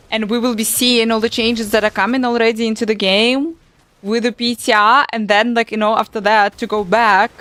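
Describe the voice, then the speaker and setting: A woman's voice: on the high side, a bit bright. A young woman from Moldova whose first language is Russian, chatting bluntly and swearing casually while she livestreams a game. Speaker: streamer. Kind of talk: casual live gaming stream chat.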